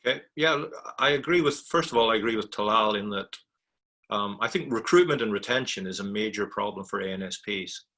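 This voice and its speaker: A man speaking as an industry panelist, explaining points in a measured, conversational way. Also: lowish voice, pushed and bright. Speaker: technology provider, panelist.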